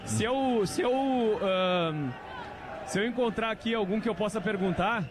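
A man's voice: high-pitched, measured pace. A man reporting live from the stands of a stadium, raising his voice over the fans. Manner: excited, raises voice over the crowd. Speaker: field reporter. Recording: noisy crowd outdoors. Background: cheering crowd.

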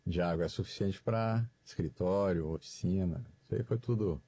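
Deep-voiced businessman, halting, full of "né". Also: mellow, breathy edge, dry recording. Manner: halting, filler-laden speech with frequent "né". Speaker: transport company guest. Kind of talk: interview answers.

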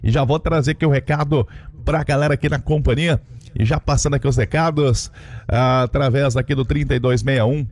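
A male radio host with a Brazilian Portuguese accent chats through his music show in a folksy, easygoing way. His pitch sits in the middle of the male range. He talks into a studio microphone, peppering his talk with small filler tags. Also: steady tone, brisk, darkish tone. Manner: chatty, folksy, fills with "né" and "aí". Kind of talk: radio DJ chat.

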